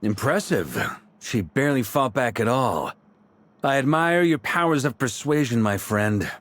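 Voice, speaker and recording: An easygoing knight commander. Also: male voice, mid-range voice, unhurried. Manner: relaxed, joking, friendly. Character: genial male knight commander, easygoing leader. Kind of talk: video game dialogue. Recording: game audio with soft music behind.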